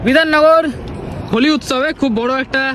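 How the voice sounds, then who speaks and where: A man's voice: very high voice, steady tone, moderate pace. A young man talking casually to viewers as a vlogger, addressing them directly. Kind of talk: casual vlog narration to viewers. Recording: loud music and crowd noise behind.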